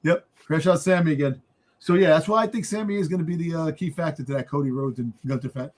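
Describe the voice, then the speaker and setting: Higher-voiced man bantering on a panel. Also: fast. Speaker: wrestling show panelist. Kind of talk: casual banter and fan review.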